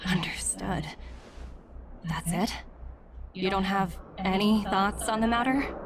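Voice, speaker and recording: Unhurried, confident corporate agent. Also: female voice, mid-range voice, very noisy. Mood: wry. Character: confident young female corporate agent, shrewd and playful. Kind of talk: video game voice acting. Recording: game music behind. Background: game music.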